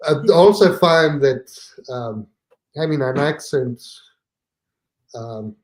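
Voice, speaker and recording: A man from Italy with an Italian accent, a podcast guest talking casually and haltingly, with many fillers and restarts. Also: mid-range voice, a little mellow, poor audio. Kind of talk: casual conversation.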